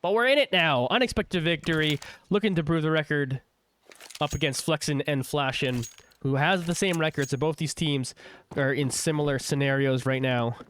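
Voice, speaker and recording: A man's voice: fairly high pitch, moderate pace, fairly bright tone, slight background noise. A young man casting a game on a livestream with a cold, chatty and rambling, with many fillers and restarts. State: has a cold, stuffy. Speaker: esports shoutcaster. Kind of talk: casual play-by-play commentary on a livestream.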